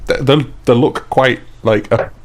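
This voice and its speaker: A man's voice: mid-range voice, steady tone, a little slow. A man giving casual, bantering commentary on a video game. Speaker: let's play commentator.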